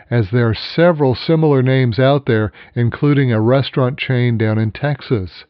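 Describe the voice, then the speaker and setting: A man hosting a financial program, reading scripted news in a measured, formal manner. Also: medium pitch, no echo, muffled recording.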